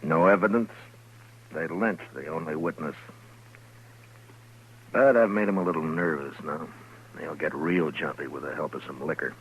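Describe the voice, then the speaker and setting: An actor playing a tough, wry frontier marshal, speaking in a dry, laconic way in 1950s radio western style. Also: male voice, rumbling low, moderate pace, long pauses, a bit bright, breathy edge, exaggerated voice. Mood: measured. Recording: dull, hissy 1950s radio recording.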